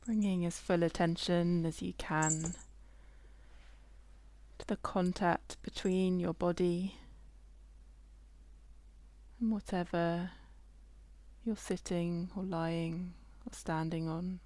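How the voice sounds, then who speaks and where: A woman leading a guided body scan meditation in a gentle, unhurried way, leaving long pauses between phrases. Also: fairly low pitch, fairly even intonation, dry recording.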